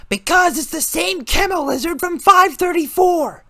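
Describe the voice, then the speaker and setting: Someone voicing a shrill, furious teenage boy. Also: normal speed, no room sound, full character voice. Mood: urgent, exasperated. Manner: shouting, urgent, exasperated. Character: furious panicking early-teen boy in goggles, bossy.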